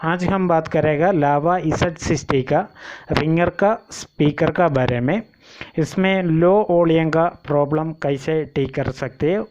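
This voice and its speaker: A man giving an informal step-by-step repair tutorial, explaining in a halting, repetitive way. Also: a little high, darkish tone. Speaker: phone repair instructor and channel host. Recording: dull lo-fi home recording.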